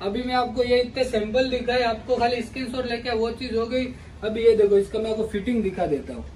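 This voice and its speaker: A man in his thirties pitches jeans in a large clothing store, his voice set unusually high for a man. He talks in a lively, pushy salesman's patter, calling out to viewers like brothers. The camera sits a little away from him, leaving a faint hum of shop noise behind his voice. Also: fairly quick, recorded from a distance, low-quality recording. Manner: energetic hard-sell patter, calls viewers brother. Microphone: camera mic at a distance. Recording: voice from off mic with faint room noise.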